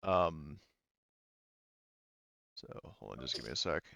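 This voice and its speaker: A man's voice: a bass voice, animated, takes it slowly, creaky, pushed and bright, no room sound. A man acting as game master, casually explaining tabletop game rules and often stopping himself to double-check. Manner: hesitant, self-interrupting, often double-checking.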